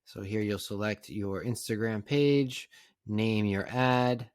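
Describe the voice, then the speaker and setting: Low-voiced man giving a software tutorial. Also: fairly even intonation, slow pace, no echo, clean studio sound. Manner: plain, instructional, conversational. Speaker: tutorial presenter. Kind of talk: casual step-by-step software tutorial narration.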